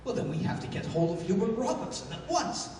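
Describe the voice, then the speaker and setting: Man playing a high-pitched, formal, excitable lawyer sidekick. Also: fairly quick. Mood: inquisitive. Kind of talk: 1930s-style radio play acting. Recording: echoey hall from far off, noisy.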